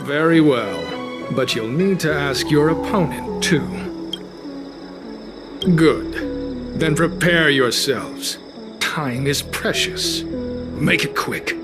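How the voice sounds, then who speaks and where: Slow, sinister cult recruiter. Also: male voice, a little high, lively intonation, over loud music. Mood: coolly menacing. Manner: formal, measured, politely menacing. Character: calm, coolly sinister male cult recruiter. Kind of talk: scripted video game cutscene acting.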